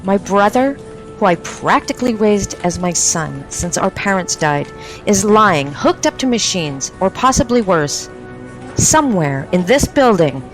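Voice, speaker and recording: An actress playing a fierce, protective older sister in a radio drama, blunt and emphatic. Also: female voice, mid-range voice, big pitch swings, a little slow, soft background music, low-quality recording. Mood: pleading resolve.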